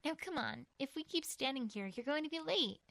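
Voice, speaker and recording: A woman voicing a caring, sensible young mare in an acted audiobook, reassuring and gently instructive. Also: high-pitched, expressive, a little fast, gravelly. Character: caring, sensible young female pony guardian.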